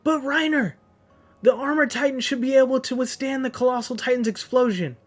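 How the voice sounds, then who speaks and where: A man reading aloud as an urgent young soldier from a comic, his voice pushed up high and strained. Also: fairly quick, soft background music.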